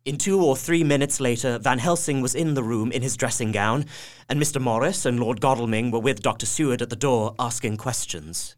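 A man's voice: medium pitch, a little fast, lightly acted. A man narrating as a devoted anxious husband. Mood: earnest. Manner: earnest, heartfelt. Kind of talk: scripted narration in audio drama.

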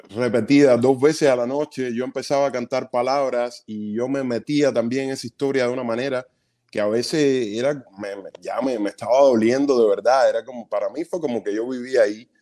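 A Cuban stage actor in his thirties, a guest in an interview, telling stories in an earnest, animated way with quick bursts of speech. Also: medium pitch. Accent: Cuban Spanish. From Cuba. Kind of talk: casual interview storytelling.

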